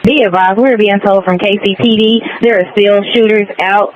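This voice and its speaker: A woman's voice: medium pitch, steady tone, moderate pace. Female dispatcher on a muffled radio. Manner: procedural, repeats key phrases. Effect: radio transmission. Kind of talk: repeated radio alerts to units. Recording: muffled radio channel, crowd noise.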